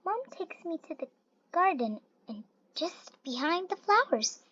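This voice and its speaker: Storybook reader, high childlike voice, unhurried and animated, close dry lo-fi sound. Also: no room sound. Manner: slow, deliberate, expressive storytelling. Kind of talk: read-aloud of a rhyming children's picture book. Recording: dull lo-fi recording, little room sound.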